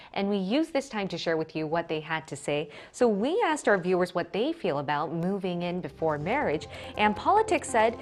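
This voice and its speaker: A young woman presenting a live morning discussion show as host in a TV studio. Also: fairly low pitch.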